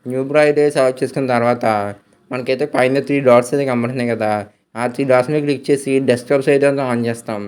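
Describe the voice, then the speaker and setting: Male tech tutorial host, rapid delivery, smooth clean voice, English terms mixed in. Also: mid-range voice, steady tone, a little mellow. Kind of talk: step-by-step tutorial voice-over.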